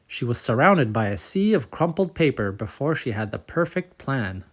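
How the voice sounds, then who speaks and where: Man reading a children's story, measured and expressive. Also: medium pitch. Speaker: storybook reader and channel host. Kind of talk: scripted children's story narration.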